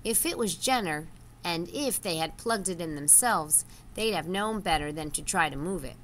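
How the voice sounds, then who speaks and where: A woman's voice: fairly low pitch, animated, normal speed, a bit bright, slight background noise. A woman voicing a practical male rat adviser in a children's storybook, reasoning in a matter-of-fact way.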